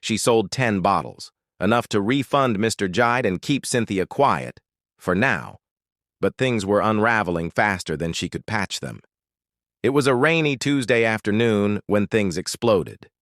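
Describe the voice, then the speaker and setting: A man's voice: lowish voice, lively intonation, measured pace, fairly bright tone, dry recording, clean studio sound. A man with a Nigerian accent narrating a scripted story in a dramatic style.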